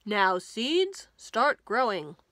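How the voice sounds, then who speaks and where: A woman voicing an earnest, anxious male toad from a children's story, in a loud, emphatic manner. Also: a little high, very animated, extremely slow, dry recording, clean studio sound, exaggerated voice. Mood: commanding.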